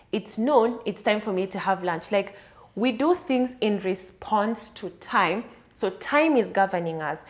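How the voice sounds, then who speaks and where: A woman talks alone on her podcast, working through an idea in an explanatory, conversational manner. Her voice sits at a middling pitch for a woman, and her tone is thoughtful and easygoing. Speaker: podcast host. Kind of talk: solo reflective podcast monologue.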